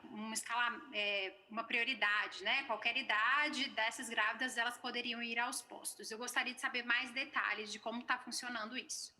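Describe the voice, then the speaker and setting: A woman's voice: fairly high pitch, fairly even intonation, moderate pace, few pauses, pushed and bright. A woman journalist politely asking a question over a video call. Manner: polite, inquiring.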